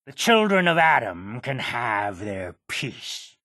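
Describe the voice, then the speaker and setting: Creaky, cranky, boastful old islander. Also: mid-range voice, big pitch swings, pushed and bright, dry recording, heavily acted. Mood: gleeful, defiant. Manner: scoffing, grumbling, slow and dramatic. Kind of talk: video game character acting.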